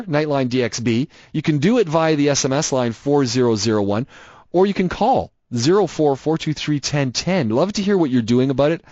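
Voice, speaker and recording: A man's voice: on the high side, darkish tone, no echo. Guy hosting a late night call-in radio show, lots of questions, dry slightly muffled sound. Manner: musing, repeats words, asks many questions.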